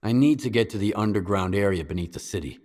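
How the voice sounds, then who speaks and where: An actor as a low-voiced, terse male mercenary. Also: quick pace, a little mellow, big-room sound, lightly acted. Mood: businesslike. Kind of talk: video game dramatic acting.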